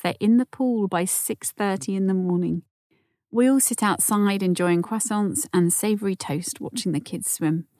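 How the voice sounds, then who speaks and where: A woman hosting a calm podcast, gently reading out listener messages with quiet near-whispered asides. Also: medium pitch, no echo, clean studio sound. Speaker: podcast host.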